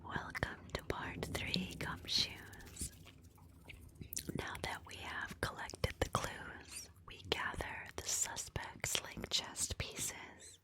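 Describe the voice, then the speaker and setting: A woman speaking in a breathy whisper, hosting an ASMR roleplay livestream in a softly deliberate, instructive way. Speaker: livestream host of a murder mystery roleplay. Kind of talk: whispered ASMR roleplay narration with audience prompts.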